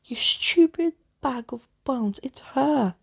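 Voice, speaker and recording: A woman's voice: sits high, expressive, takes it slowly, vocal fry, slightly rough, pitched above the performer's own voice, exaggerated voice. A woman voicing a fierce, scornful girl in a children's storybook, snapping impatiently and hurling insults. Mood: exasperated.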